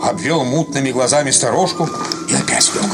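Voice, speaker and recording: A man narrating a literary short story for radio drama in a measured, expressive storytelling manner. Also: medium pitch. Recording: loud music under the narration.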